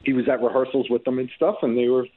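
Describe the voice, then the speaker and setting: A man's voice: mid-range voice, fairly even intonation, fast talker. A man from New York, a guest musician on a remote call, telling his story in casual, rambling interview chat full of restarts. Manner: rambling, many restarts and fillers. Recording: tinny phone-like line.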